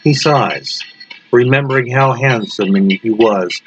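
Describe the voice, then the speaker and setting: Slow man narrating horror over loud noise. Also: medium pitch. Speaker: story reader. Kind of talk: scripted horror story narration. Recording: muffled lo-fi with loud background noise.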